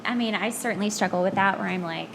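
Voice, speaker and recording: A woman's voice: medium pitch, fast talker. A woman hosting a podcast in a casual chat, talking candidly and poking fun at herself. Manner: candid, self-deprecating. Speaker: podcast host. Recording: clear background noise.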